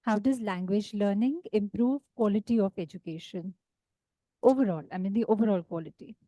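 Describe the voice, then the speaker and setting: A woman's voice: mid-range voice, a little fast, warm tone, no room sound. A woman moderating a webinar, putting a question to the speaker politely, with some hesitation.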